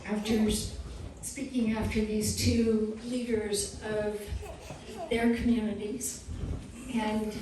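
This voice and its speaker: A woman emcee speaking in a measured, formal way as she introduces the next part of an event. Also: mid-range voice, flat delivery, background noise. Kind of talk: formal speech. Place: large echoey hall. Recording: echoey hall from far off.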